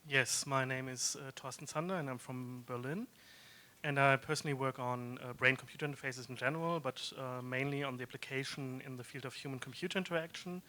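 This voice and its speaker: A man's voice: mid-range voice, brisk, pushed and bright. A man introducing himself on a panel, explaining his research earnestly with many fillers.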